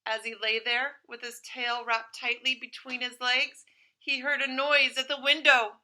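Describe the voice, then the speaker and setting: A woman reads a children's story aloud with dramatic expression. Her voice is high and strikingly bright, like a teacher performing for young pupils. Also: little pitch movement, unhurried. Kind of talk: animated storybook read-aloud.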